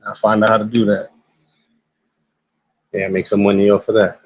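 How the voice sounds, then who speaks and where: New streamer guy, husky smoker rasp, dry and dull lo-fi audio, loose profane chat. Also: fairly low pitch, little pitch movement, normal speed, no room sound. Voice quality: raspy smoker's voice. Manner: laid-back, rambling, profane. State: high. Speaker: livestreamer. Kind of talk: casual live-stream chat.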